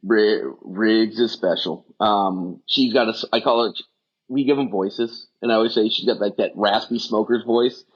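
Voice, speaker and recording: Husky man, rapid rambling talk. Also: on the low side, steady tone. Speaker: guest, firefighter rehab specialist. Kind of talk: casual podcast conversation. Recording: dull lo-fi audio.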